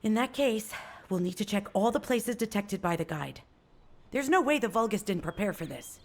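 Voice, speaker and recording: A woman's voice: medium pitch, expressive, normal speed. An actress playing a tough, hot-tempered female fighter in a video game, with a blunt, intense, emphatic delivery. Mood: resolute. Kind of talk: scripted video game dialogue.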